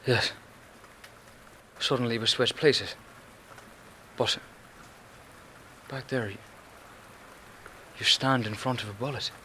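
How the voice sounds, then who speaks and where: An actor playing an earnest, anxious, haunted immigrant cab driver in a radio play, speaking simple broken English. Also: male voice, medium pitch, steady tone, slow, pauses often, sharp tone, a little hiss or hum. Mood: shaken, grateful.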